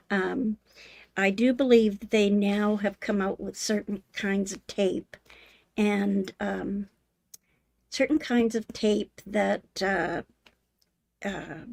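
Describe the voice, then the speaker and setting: An older woman shows sewing tips to a live audience, her voice a little hoarse. She talks very slowly and unhurriedly, filling pauses with ums as she works. The sound is dry and close, with no room echo. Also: mid-range voice, darkish tone. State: slightly hoarse. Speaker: sewing channel host. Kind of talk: live sewing demonstration with viewer chat.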